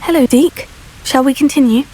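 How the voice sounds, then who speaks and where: A woman's voice: high voice, normal speed, warm tone, natural voice, low-quality recording. A woman playing a polite young student. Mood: inquisitive. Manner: polite and inquisitive. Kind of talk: video game voice acting. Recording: game audio with faint music.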